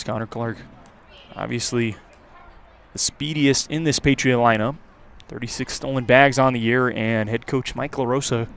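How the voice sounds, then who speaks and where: A man's voice: medium pitch, fairly bright tone. Male baseball play-by-play announcer. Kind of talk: live baseball radio commentary.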